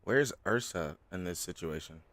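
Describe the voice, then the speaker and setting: A man's voice: deep voice, expressive, moderate pace, a bit bright, slight background noise. A man giving casual live reaction commentary as a streamer watching a fan dub.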